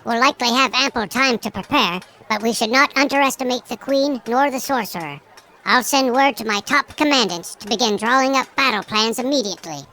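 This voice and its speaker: A woman's voice: on the high side, sharp tone, low-quality recording. A woman playing a sage, grandfatherly elder mayor of a small folk, speaking formally and eloquently in a fantasy audio drama. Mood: resolute. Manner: formal, measured, eloquent speech.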